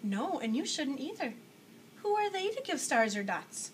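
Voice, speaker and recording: A big, bearded craftsman from a storybook, read by a woman, kind and patient. The delivery is gentle and reassuring, pitched fairly high, over light background noise. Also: big pitch swings, moderate pace.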